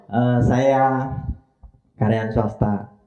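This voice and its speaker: A man's voice: fairly low pitch, fairly even intonation, takes it slowly, warm tone. A man, a jokey, easygoing podcast guest. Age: 30s. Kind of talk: casual chat. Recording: dull mic with faint music.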